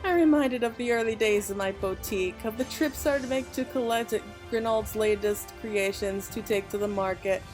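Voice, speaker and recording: A woman's voice: medium pitch, normal speed, few pauses, over music, close to an everyday voice. Woman reading as formal, refined merchant chairwoman. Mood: fond, encouraging. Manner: formal, eloquent. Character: refined, supportive merchant chairwoman in fantasy game.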